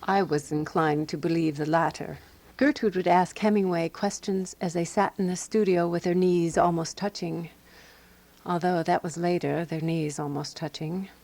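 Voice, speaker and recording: Radio drama narrator: prim, watchful woman companion, lowish voice, dry and deliberate, quietly jealous. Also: fairly even intonation. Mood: dry, wry.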